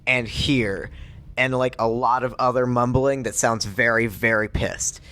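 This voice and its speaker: A man playing a tabletop game, describing his character's actions in a casual, halting way. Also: medium pitch, fairly even intonation, pushed and bright. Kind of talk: casual improvised role-play chat.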